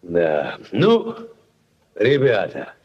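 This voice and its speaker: An actor playing a pompous, gruff old village headman in a 1940s radio play, blustering and repeating himself. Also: low voice, huge pitch swings, very slow, highs cut off, heavily acted. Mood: gruff, expansive. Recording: vintage 1940s radio recording.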